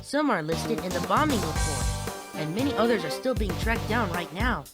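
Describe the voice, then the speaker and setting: A man voicing an excitable, friendly cheetah police officer testifying in a fan audio drama, chatty and earnest. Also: high-pitched, normal speed. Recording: loud music under the voice. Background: loud music.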